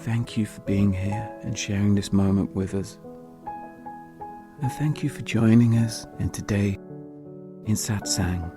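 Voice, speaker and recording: A serene meditation leader, played by a male narrator in an audio drama. He welcomes gently and reverently, quieter and measured, with clear music behind him. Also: on the low side, lightly acted. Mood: serene welcoming.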